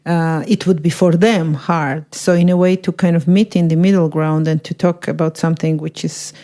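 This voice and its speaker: A woman from Georgia whose native language is Georgian, interviewing a friend in a relaxed chat and musing aloud as she asks her questions. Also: sits low, normal speed. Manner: easygoing, laughs often, musing questions. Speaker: guest interviewer, artist. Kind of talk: casual conversation.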